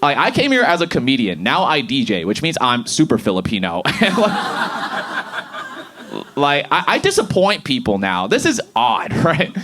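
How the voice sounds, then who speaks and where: A man of thirty doing a stand-up comedy set on a club stage, animated and jumping to high shouts on punchlines. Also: normal speed. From Boston area.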